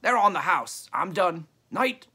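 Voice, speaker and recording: A man's voice: sits high, animated, measured pace, bright, forceful tone, husky, voice pushed up, exaggerated voice. A man voicing an offhand, folksy rustic fellow handing out bones in a storybook.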